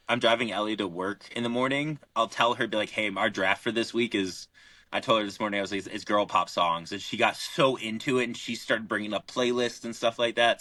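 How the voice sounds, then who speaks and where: A young man co-hosting a casual podcast, chatting easily and drawing out his picks with fillers. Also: lowish voice. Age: young adult. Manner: relaxed, drawn-out picks with fillers. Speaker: podcast co-host.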